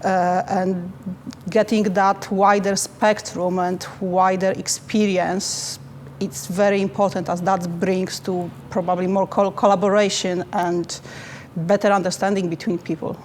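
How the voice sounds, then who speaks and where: A woman with a Polish accent, a native Polish speaker, answering interview questions as a finance manager in careful, halting phrasing. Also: medium pitch, level tone, a little mellow, a little hiss or hum.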